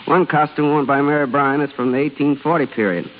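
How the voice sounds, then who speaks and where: A man's voice: a little high, steady tone, fairly quick. Man on reverberant old radio. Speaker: radio presenter. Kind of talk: scripted 1930s-40s radio talk. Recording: echoing hall, tinny hissy old broadcast.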